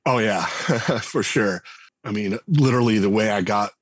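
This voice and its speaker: A middle-aged man, a game writer and designer, talking casually in an interview and telling relaxed stories with frequent restarts. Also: fairly low pitch, unhurried, husky, no echo. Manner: relaxed storyteller, many restarts and fillers.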